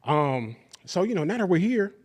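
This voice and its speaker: A man's voice: high voice, big pitch swings, normal speed, a little mellow. A man hosting a podcast, interviewing his guest in a casual, laid-back way and affirming her often with short replies. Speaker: podcast host.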